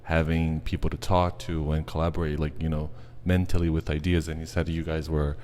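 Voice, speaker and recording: Deep, gushing male podcast host. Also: level tone, brisk, a little mellow. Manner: effusive, fills with "like" and "you know". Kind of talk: casual interview.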